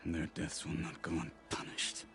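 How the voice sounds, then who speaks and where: Grim warrior, very low voice, terse, vengeful, deadpan, video game dialogue, music behind. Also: male voice, unhurried, exaggerated voice. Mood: bitter, vengeful. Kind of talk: video game character acting.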